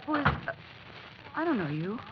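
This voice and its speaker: A woman's voice: on the high side, sing-song, moderate pace. An actress playing a tough, wary young showgirl, brusque and streetwise, in 1940s radio drama style. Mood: hostile, wary. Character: tough, wary young female tent show dancer. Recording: muffled, hissy old radio recording.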